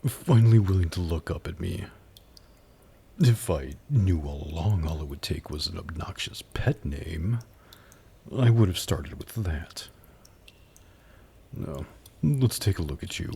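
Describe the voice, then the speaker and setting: A man playing a haughty, predatory demon in an ASMR roleplay, taunting and flirting in a condescending purr that dips into breathy whispers. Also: low voice, lively intonation, unhurried, pauses often, dark tone, slight background noise, exaggerated voice. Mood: amused, flirty teasing.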